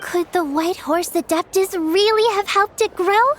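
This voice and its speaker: A woman's voice: extremely high pitch, a little slow, a little hiss or hum. A woman voicing a sweet, helpful little girl in a video game, chirpy, kindly and polite. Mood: bright, friendly.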